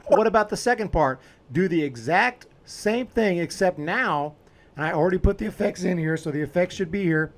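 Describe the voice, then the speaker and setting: Casual man with a slightly high voice. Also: animated, normal speed. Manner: informal, explains as he clicks along. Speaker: video editing tutorial host. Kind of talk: casual step-by-step screen tutorial.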